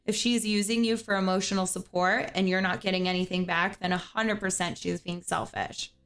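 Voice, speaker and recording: A woman's voice: medium pitch, steady tone, fairly quick, a bit bright. A young woman hosting a livestream, giving a caller earnest advice in a casual chat and repeating words as she thinks. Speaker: streamer host giving advice.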